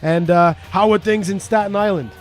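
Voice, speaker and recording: High-voiced Canadian man, chatty. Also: fairly even intonation, moderate pace, soft background music. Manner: swearing, talkative, joking. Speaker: podcast co-host. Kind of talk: casual chat.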